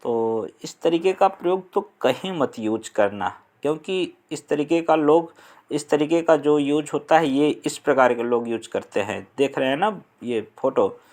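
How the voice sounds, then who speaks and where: A young man from India with a Hindi accent, explaining an English lesson slowly and deliberately, pausing on fillers. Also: mid-range voice. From Uttar Pradesh, India. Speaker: English teacher.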